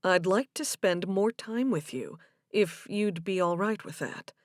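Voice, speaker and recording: Woman reading as an earnest, gentle man, dry sound. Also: mid-range voice, measured pace, no room sound, lightly acted. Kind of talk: audiobook character dialogue.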